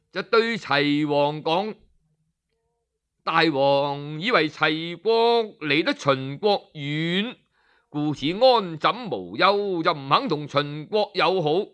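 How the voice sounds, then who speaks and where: Slow, lively Cantonese storyteller man. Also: a little high, clean tone. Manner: animated, slow, voices the characters' speeches.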